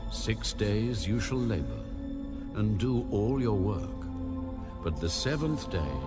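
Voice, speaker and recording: A man narrating scripture in a solemn, measured, authoritative style, as in a dramatized audio Bible. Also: lowish voice. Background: loud music.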